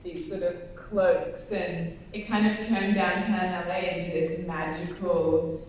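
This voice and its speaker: A woman's voice: fairly low pitch, flat delivery, measured pace, a little mellow, a little hiss or hum. A woman from Australia answering at a press conference, telling it with enthusiasm. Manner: enthusiastic storytelling. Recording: echoey hall from far off.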